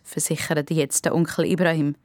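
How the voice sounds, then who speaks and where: A woman narrates a children's story in a scripted storytelling style. Her accent is Swiss German and her voice sits slightly on the low side. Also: flat delivery, normal speed, darkish tone, no room sound.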